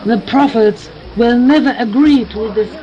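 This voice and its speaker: A woman's voice: on the high side, measured pace, muffled recording, crowd noise, natural voice. A woman playing a zealous, accusing vampire hunter in a preachy, condemning manner in an audio drama. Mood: scornful. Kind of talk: fan audio drama acting.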